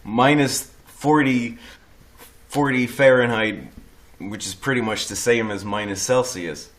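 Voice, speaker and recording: A man's voice: medium pitch, unhurried, a bit bright. A man from the Netherlands chatting casually as a guest, hesitant, often restarting his sentences and swearing offhandedly. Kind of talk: casual chat.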